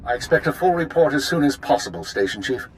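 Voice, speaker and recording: An actor as an authoritative intelligence chief in a sci-fi game. He has a medium-pitched voice and a terse, controlled delivery. There is clear ambient noise behind him. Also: lively intonation, quick pace, close to an everyday voice, rough recording. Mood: commanding. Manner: formal, commanding, clipped. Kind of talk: video game voice acting.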